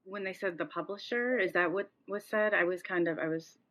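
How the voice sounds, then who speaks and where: A woman's voice: fairly low pitch, fairly quick, a bit bright. A woman hosting a podcast, reacting to her guests with effusive enthusiasm. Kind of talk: casual podcast chat. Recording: slightly dull, lo-fi sound.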